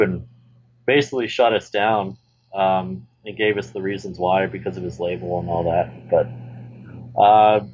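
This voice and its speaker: A man in a band chats in a relaxed, rambling way, swearing now and then. His voice is fairly low, with a dull lo-fi sound and a faint hiss behind it. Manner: laid-back, rambling, swears casually. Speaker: band member guest. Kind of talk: casual chat.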